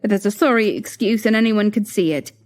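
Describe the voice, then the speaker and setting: A woman's voice: mid-range voice, fairly quick, a little mellow, natural voice. A woman playing a loyal, gossipy young maid in an audio drama, emphatic and eager to please her mistress. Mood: indignant. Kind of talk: melodramatic audio drama acting.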